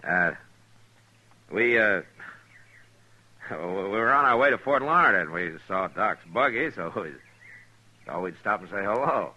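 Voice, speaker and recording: An actor playing a steady, considerate American frontier lawman with halting, laconic speech in a 1950s radio western. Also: on the low side, lo-fi sound, full character voice. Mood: polite. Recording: faintly noisy old radio recording.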